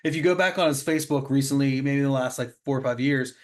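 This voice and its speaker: A man's voice: mid-range voice, quick pace. Casual male show host, admiring and informal. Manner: admiring, informal, says "man" often. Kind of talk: casual chat, commentary on comic art.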